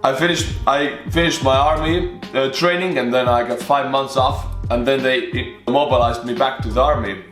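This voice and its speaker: A man's voice: medium pitch, normal speed, hardly any pauses, fairly bright tone, soft background music. Chatty Estonian man in an echoey room. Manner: chatty, restarts often, talks through personal stories. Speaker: YouTube reaction host. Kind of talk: casual reaction commentary.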